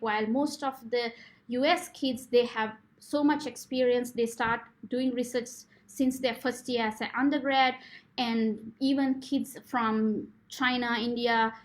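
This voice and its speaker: A woman in her thirties from Sri Lanka with a Sri Lankan accent, a postdoctoral researcher giving informal advice to prospective students, earnest and full of fillers. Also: fairly high pitch. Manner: frequent fillers, earnest advising tone.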